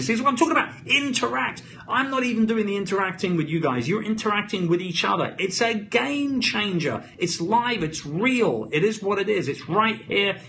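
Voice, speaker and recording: London guy hyping up his live stream, punchy phrases, voice a bit high, some noise on it. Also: a bit bright. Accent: London British. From London, England. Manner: emphatic, punchy short phrases, hyped-up. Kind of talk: off-the-cuff motivational live-stream chat. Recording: noisy live phone stream.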